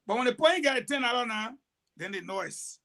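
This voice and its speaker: High-voiced man. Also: brisk, creaky. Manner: animated storytelling, emphatic broken sentences. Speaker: panelist. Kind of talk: heated panel discussion.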